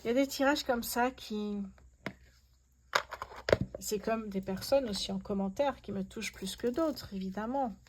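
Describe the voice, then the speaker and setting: A woman's voice: on the high side, unhurried, long pauses, background noise. Effusive woman reading tarot cards. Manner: effusive, awestruck, repeats herself in wonder. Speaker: host, tarot card reader. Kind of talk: casual tarot reading addressed to viewers.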